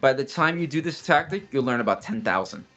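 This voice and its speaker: A man's voice: mid-range voice, animated, a little fast, fairly bright tone, dull audio. A man, casually explaining study steps as a guest in a chat, blunt and fond of restarts.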